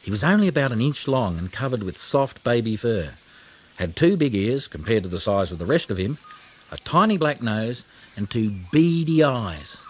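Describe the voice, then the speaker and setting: A man reads a children's story in an expressive, animated voice with lively swings of pitch. Faint noise sits behind his narration. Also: moderate pace. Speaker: audiobook narrator.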